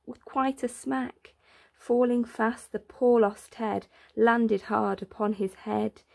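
A woman reading a rhyming children's picture book aloud in an expressive, deliberate way, drawing out words for emphasis. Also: medium pitch. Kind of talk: expressive read-aloud of a rhyming bedtime story.

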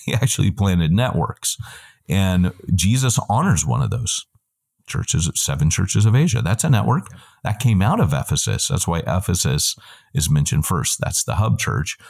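A middle-aged man, a guest on a video call, giving a long, animated answer full of quick asides and self-corrections, heard through wired earbuds in a room at home. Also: deep voice, creaky. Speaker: guest, church planting trainer.

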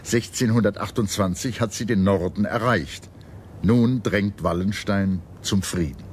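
A man narrating a scripted history documentary in a measured, serious manner. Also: fairly low pitch, darkish tone, a little hiss or hum.

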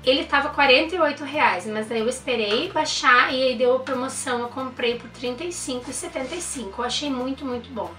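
A woman's voice: sits high, brisk, few pauses, sharp tone, roomy sound, recorded from a distance, soft background music. A young woman, reviewing baby products in a chatty, enthusiastic way and using lots of diminutives. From Brazil. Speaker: mom vlogger. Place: indoor room.

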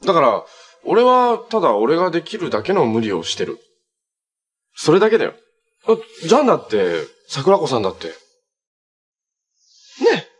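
A young man acting in a TV drama as a cheerful, selfless hero, speaking naturally in a gentle, earnest way. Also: fairly high pitch, huge pitch swings, moderate pace, long pauses, slightly rough, full character voice. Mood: reflective, resolute. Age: young adult. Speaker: actor in a superhero TV series. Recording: background noise, some reverberant scenes.

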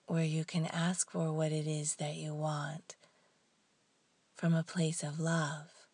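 Very slow, low, breathy woman guiding meditation. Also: little pitch movement, dry recording. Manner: soft, hushed and breathy, with long pauses. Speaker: guided meditation teacher. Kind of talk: guided meditation narration.

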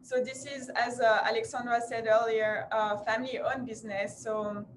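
A woman's voice: on the high side, fairly even intonation, moderate pace, slight background noise. A young woman with a French accent introducing her family bakery in a kitchen, chatty with many fillers. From France. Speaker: bakery representative. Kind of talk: live cooking demo presentation.

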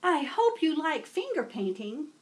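Storybook role: kindly old schoolmistress played by a woman, slow, sing-song delivery, patient with little ones. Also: high-pitched, sing-song, voice pushed up. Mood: encouraging. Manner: sing-song, patient, addressing small children.

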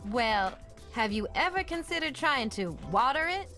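A woman's voice: sits high, sing-song, normal speed, sharp tone, soft background music, full character voice. A woman playing a sassy, confident hippo in a video game, with a wry manner. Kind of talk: video game character acting.

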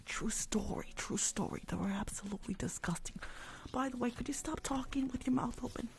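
A woman's voice: on the high side, brisk, a little mellow. A woman doing a whispered ASMR roleplay as a rude dentist, bossy and teasing, often repeating short phrases. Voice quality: breathy whisper with creaky edges. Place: small room at home, indoors.

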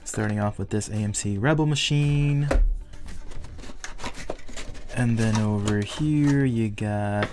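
A man casually commenting on an unboxing of collectible toy cars, speaking with eager excitement about value. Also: on the low side, slow, long pauses, over music. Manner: enthusiastic, speculative collector talk. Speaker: toy car collector vlogger.

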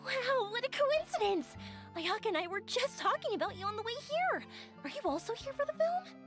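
A very high, bouncy young woman who marvels at everything around her. Her pitch swoops up and down, and game music plays behind her. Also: brisk. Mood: cheerful. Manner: excitable, wide-eyed exclamations. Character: lively young woman, excitable and cheerful.

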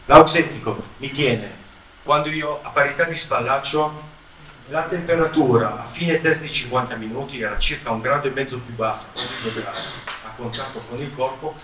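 A man's voice: medium pitch, normal speed, pushed and bright. A man in his fifties from Italy giving a product training presentation in a conversational, explanatory manner, checking in with his listeners, speaking into a handheld microphone in a large conference room. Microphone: handheld microphone, recorded by a distant camera. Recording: roomy, distant, noisy conference-room capture.

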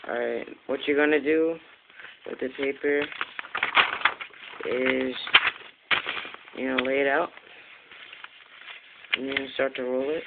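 Flat-toned man on tinny, noisy phone audio. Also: medium pitch, slow pace, long pauses. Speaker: video maker giving a how-to. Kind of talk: casual improvised tutorial chat. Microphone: handheld Android phone. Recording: tinny phone-like audio, clear background noise.

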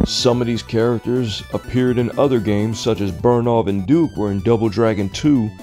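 A man's voice: medium pitch, dark tone. A man giving voice-over commentary on video game footage as a review narrator.

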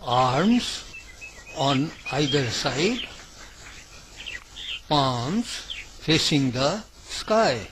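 Male meditation guide, higher-pitched voice, extremely slow delivery in brief phrases, faint background noise with birdsong. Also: big pitch swings. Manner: deliberate short phrases with long pauses. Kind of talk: slow guided yoga nidra meditation narration.

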